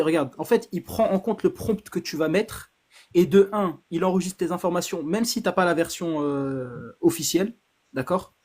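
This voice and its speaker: A man's voice: on the high side, darkish tone. A man co-hosting a live online debate, speaking bluntly with slang and jumping in to lecture the others insistently. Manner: blunt, combative, uses slang. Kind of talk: heated casual debate.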